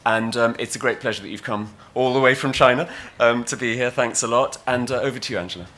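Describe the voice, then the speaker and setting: A man in his thirties introducing a keynote speaker from a podium microphone, halting, with many fillers and restarts. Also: medium pitch, noisy background. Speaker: session moderator. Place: conference hall podium.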